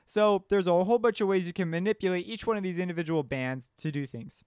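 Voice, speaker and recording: A man narrating a casual software tutorial, talking informally as he thinks aloud. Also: high-pitched, fast, smooth, no echo, studio quality. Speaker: tutorial host. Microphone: close mic screencast voice-over.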